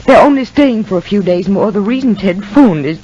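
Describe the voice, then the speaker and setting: A woman's voice: medium pitch, lively intonation, brisk, dark tone, a little hiss or hum, close to an everyday voice. An actress playing a shaken, worried mother in a 1950s radio soap opera, speaking in an earnest, fretful way. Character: anxious, shaken mother worried about her young son. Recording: dull, muffled vintage radio recording.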